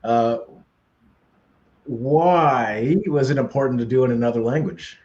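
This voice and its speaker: A man interviewing a film director over a video call, asking relaxed, conversational questions. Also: mid-range voice, measured pace, echoey room, muffled recording. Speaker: festival interviewer. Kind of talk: remote interview.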